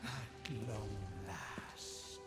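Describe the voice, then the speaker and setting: An actor playing an obsessed undead king in an animated game cinematic, possessive, imperious and theatrically menacing. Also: fairly low pitch, very slow. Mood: cold menace. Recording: loud music over the voice.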